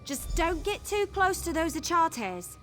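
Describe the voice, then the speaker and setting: An actress voicing a spirited, chatty and mischievous young female scout in a video game, with an expressive delivery. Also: extremely high pitch, measured pace, full character voice. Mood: hesitant, gossipy. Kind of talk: video game character acting. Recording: game dialogue over background music.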